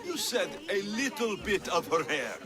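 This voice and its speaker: A man playing a gruff, sceptical mercenary hero in a video game, blunt, dry and impatient. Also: high voice, very animated, unhurried, a crowd in the background. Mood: indignant. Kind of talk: video game cutscene acting.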